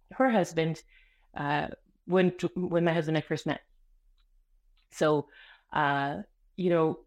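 A woman author speaking as a guest in a casual interview, expressive and energetic as she tells stories. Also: lowish voice. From Canada. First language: Spanish.

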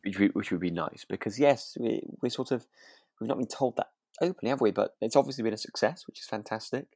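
A man's voice: fairly low pitch, fast, darkish tone, no echo, clean studio sound. A British man chatting as a podcast co-host, hesitant and often restarting his sentences. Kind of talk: relaxed chat discussing a radio drama.

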